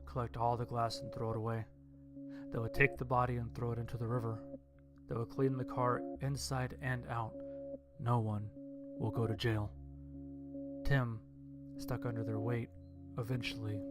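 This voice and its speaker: A man reading a scripted horror story as its narrator. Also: mid-range voice. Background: instrumental music.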